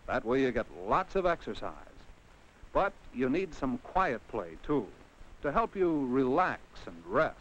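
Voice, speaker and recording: Male narrator, American, mid-range pitch, highly animated, 1950 classroom-film style, slightly muffled vintage sound. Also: a little slow, slight background noise. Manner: addresses the child on screen directly with rhetorical questions. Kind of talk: 1950s educational film scripted narration.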